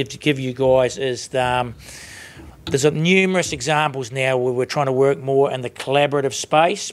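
A man in his fifties with a New Zealand accent gives an informal, conversational and rambling closing speech at a podium as an industry leader. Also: mid-range voice. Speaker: industry leader giving closing conference address. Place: conference room with seated audience, indoors. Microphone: lectern microphones.

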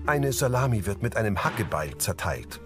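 Low-pitched man narrating audio description. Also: very animated, normal speed, over loud music. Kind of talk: scripted scene narration.

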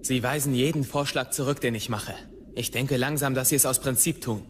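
A man plays a headstrong, frustrated young warrior in a radio drama. His restless voice is of middling pitch, with faint ambient noise behind it. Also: a little fast, lightly acted. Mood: impatient. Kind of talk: radio play acting.

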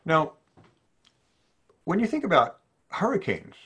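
An older man from New Orleans, a psychiatrist giving a measured webinar lecture to physicians over slides, often posing questions to his listeners, heard through a webcam in his home study. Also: a little high, sing-song, sharp tone, slightly rough. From New Orleans, USA. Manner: measured, thoughtful, poses questions to the audience. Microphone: video call webcam.

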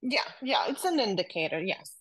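A woman consulting an advisor over a video call, answering in brief, quick affirmations. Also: medium pitch, big pitch swings, a bit bright, slightly rough, rough recording. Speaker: client seeking advice.

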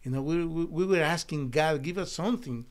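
A middle-aged man from El Salvador with a Spanish accent, telling his story as an interview guest in halting, earnest English in a small studio on a desk mic. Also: on the high side. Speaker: guest, naturopathic doctor. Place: small studio with foam wall panels. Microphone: desk podcast mic.

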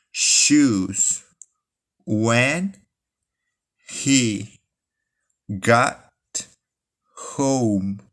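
Slow, deliberate male teacher. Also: mid-range voice. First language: Spanish. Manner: reads phrases slowly, then word by word. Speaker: English teacher. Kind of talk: bilingual language lesson, slow drilled repetition.

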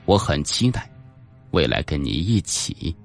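A man's voice: rumbling low, sing-song, unhurried, vocal fry, soft background music, heavily acted. A man voicing a cold, probing police team leader with an insinuating, menacing manner in an audiobook. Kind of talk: audiobook character dialogue.

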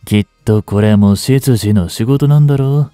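An actor playing a rough young street punk working as a butler in an audio drama, blunt and teasing, with a grudgingly tender side. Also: male voice, fairly low pitch, unhurried, warm tone, dry recording, studio quality, faint music behind, natural voice. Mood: grudgingly soothing. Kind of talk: intimate Japanese audio drama acting addressed to the listener.

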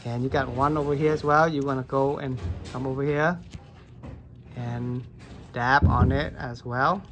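A man's voice: mid-range voice, steady tone, a little slow, over music. A man casually showing how to hand-pollinate plants in a basement grow room, talking loosely with lots of "like" and "um" while filming with a handheld phone. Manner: loose, chatty, fills with "like" and "um". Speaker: gardening vlogger. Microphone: handheld phone camera, filming his hands.

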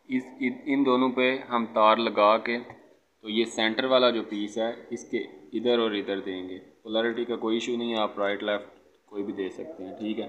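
A man giving an informal hands-on tutorial as an electrician, explaining step by step and often checking with a quick okay. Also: fairly low pitch, fairly bright tone. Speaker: electrician presenter. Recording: dull lo-fi phone audio, background noise.

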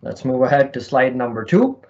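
A man's voice: mid-range voice, expressive, moderate pace, warm tone, dull audio. A man from India with a slight Indian accent, presenting a virtual conference session in a friendly, explanatory way over an online call. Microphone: remote call mic.

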